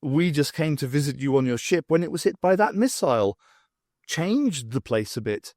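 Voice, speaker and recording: A man's voice: fairly high pitch, lively intonation, moderate pace, a little mellow, dry recording, studio quality. A man voicing a chatty, cheeky male sidekick in an audiobook, with a flippant, chirpy manner. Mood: offhand.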